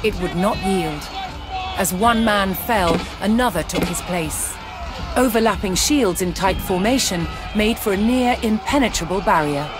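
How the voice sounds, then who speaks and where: A narrator reading a scripted historical account in a measured, dramatic storytelling manner. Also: medium pitch. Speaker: documentary narrator. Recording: loud music behind the voice. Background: loud background music.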